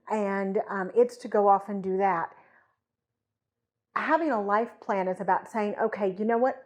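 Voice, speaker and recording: A woman co-hosting a podcast, explaining things conversationally and emphatically, voicing imagined thoughts as examples. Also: medium pitch. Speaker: podcast co-host.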